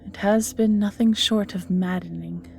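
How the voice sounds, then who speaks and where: A woman's voice: medium pitch, steady tone, normal speed, vocal fry, a little mellow, lightly acted. Woman voicing banished immortal villainess, world-weary, flirty, theatrical musing, near-whispered phrases, audio drama, background music. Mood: rueful. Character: ancient banished evil magical being, flirty and world-weary. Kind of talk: scripted audio roleplay acting, addressing the listener.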